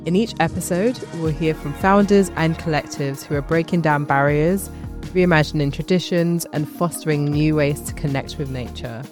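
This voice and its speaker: A podcast host of medium pitch reading scripted narration. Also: moderate pace. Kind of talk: scripted narration over music. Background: music.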